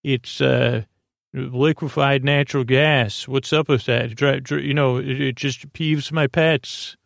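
Creaky-voiced man rambling softly through a monologue. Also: medium pitch, fairly even intonation, dry recording, clean studio sound. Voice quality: gravelly, creaky voice. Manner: meandering, halting, digressive. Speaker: host of a sleep podcast.

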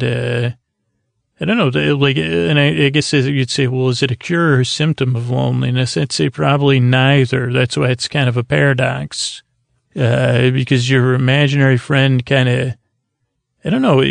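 Middle-aged male sleep-podcast host: heavy vocal fry, digressive and halting delivery, dry recording. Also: mid-range voice, no room sound. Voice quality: gravelly, creaky. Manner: halting, digressive, trails off and restarts mid-sentence. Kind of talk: rambling, meandering bedtime monologue.

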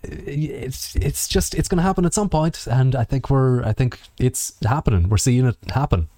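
Mellow-voiced man on a podcast, dry sound. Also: medium pitch, moderate pace, dry recording. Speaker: podcast co-host. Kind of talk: casual podcast chat.

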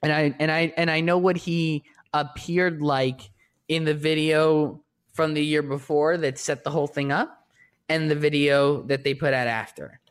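A man whose voice sits unusually high for a guy. He talks in a shy, meandering way, circling back on his sentences with lots of restarts and fillers. The tone is loose, casual conversation. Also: steady tone, moderate pace, smooth. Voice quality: distinctly high-pitched voice.

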